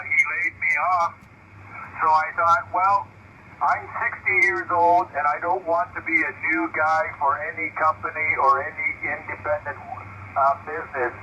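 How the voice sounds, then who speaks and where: A Canadian man in his sixties, a retired house painter, chatting haltingly over ham radio. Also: fairly high pitch, pushed and bright. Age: 60s. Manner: halting, many fillers. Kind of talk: casual radio chat. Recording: dull lo-fi radio with faint noise.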